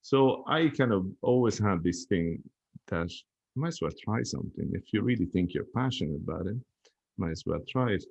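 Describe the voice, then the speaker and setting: A man's voice: on the low side, animated, moderate pace, gravelly, darkish tone, highs cut off. A man chatting as a podcast guest, telling stories in a reflective, easygoing way. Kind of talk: casual chat.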